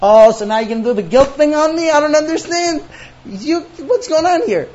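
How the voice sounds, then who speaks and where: Exasperated husband, played by a male storyteller, incredulous and protesting, pitch swinging widely, slightly dull sound. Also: sing-song, fast talker, a little mellow, breathy edge. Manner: incredulous questioning, protesting, climbing high in exasperation. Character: baffled, aggrieved husband woken from sleep.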